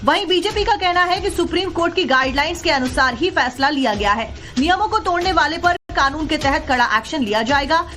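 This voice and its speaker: High-voiced woman reading news voice-over. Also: animated, a little fast, few pauses, bright, forceful tone. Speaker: news reporter. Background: background music.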